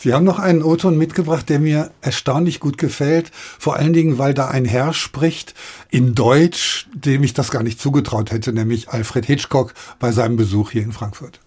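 A man's voice: medium pitch, moderate pace, a little mellow, breathy edge. A man hosting a radio history podcast, moderating and asking questions conversationally. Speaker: podcast host.

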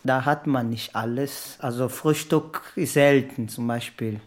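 A man talks in a mid-range voice. He is unhurried and very slow, sharing personal recollections conversationally. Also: mid-range voice, steady tone. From Angola.

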